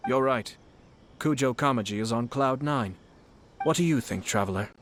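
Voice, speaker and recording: A composed young nobleman played by a man in a fantasy video game. He speaks at a medium pitch with formal, measured courtesy and quiet shrewdness, over clear background ambience. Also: slight background noise, natural voice. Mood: calm, thoughtful.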